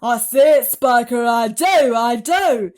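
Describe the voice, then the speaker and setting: A woman voicing a loud, greedy, excitable fat aunt from a storybook, exclaiming in shouts. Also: fairly high pitch, slow, pitched above the performer's own voice. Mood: excited.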